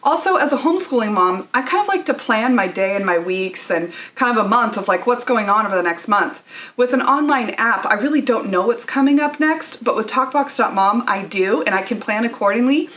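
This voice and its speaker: A homeschooling mom talking in a casual, conversational and explanatory way, as in a product testimonial. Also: female voice, on the high side, animated, brisk, a bit bright. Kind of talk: casual direct-to-camera product review.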